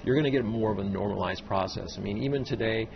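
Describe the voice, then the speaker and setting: A man's voice: lowish voice, fast talker, gravelly, dull audio, noisy background. A man who is a guest on a studio panel, explaining his view in a reflective, conversational way.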